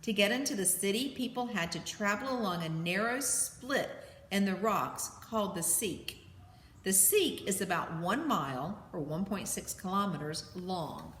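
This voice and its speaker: Female teacher, read-aloud lesson, mid-pitched voice in a reverberant room with faint noise behind. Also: lively intonation, moderate pace, a bit bright. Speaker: teacher reading aloud to students. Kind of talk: read-aloud lesson from a children's nonfiction book. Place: indoor room with heating running. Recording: echoey, reverberant room with background hum. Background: heater noise.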